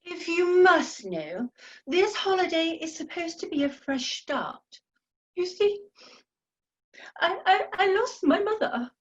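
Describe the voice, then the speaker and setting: Prim, pious spinster played by a woman: slow, swooping, earnest, comic radio play, dull sound. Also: very high-pitched, very animated, exaggerated voice. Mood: mournful. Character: prim, lonely, pious, easily affronted spinster. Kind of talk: comedy radio play acting. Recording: dull, muffled home recording.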